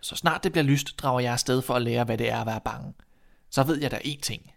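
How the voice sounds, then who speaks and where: A man's voice: mid-range voice, gravelly, close to an everyday voice. A man voicing a naive, fearless young son in a fairy tale, plain-spoken and insistent. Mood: cheerful, determined. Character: dim-witted fearless youngest son, earnest and naive boy.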